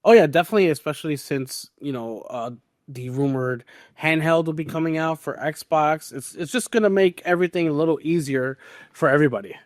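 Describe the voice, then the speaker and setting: A man's voice: a little high, a little fast, no room sound, studio quality. A man hosting a podcast and steering the topics in casual gaming news chat.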